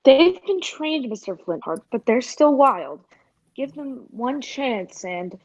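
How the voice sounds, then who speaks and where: A woman's voice: high voice, big pitch swings, takes it slowly, a little mellow, dull audio, full character voice. A woman playing a snippy, defiant circus animal trainer in a 1940s-style radio drama. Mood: sharp, sarcastic.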